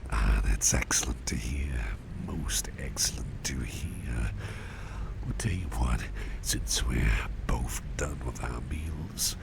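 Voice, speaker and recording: A man playing a doting, protective businessman boss in an ASMR roleplay, murmuring in a gentle, courteous, breathy whisper with unhurried, reassuring repetition. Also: extremely low pitch, exaggerated voice. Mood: pleased, doting. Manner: gentle, unhurried, hesitant pauses, repeats phrases reassuringly. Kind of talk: ASMR roleplay, close personal attention. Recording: audible steady background noise.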